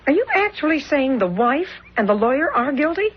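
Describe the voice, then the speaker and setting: A woman's voice: sits high, big pitch swings, brisk. An actress playing a concerned, inquisitive woman, a detective's confidante, in a 1970s radio drama on a dull old recording. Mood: concerned, curious. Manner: inquisitive, earnest.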